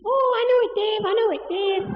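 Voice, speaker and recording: A teenage boy voicing an eager, chirpy female quiz contestant in an amateur radio spoof, excitable and insistent. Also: extremely high pitch, a little fast, voice pushed up. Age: teenage performer. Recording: echoey, dull lo-fi home tape, faint noise.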